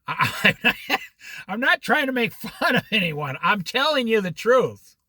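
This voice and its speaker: A man's voice: sits high, sing-song, slow, pushed and bright, dry recording. A man leading an informal Bible study, throwing out challenging rhetorical questions and jokey asides.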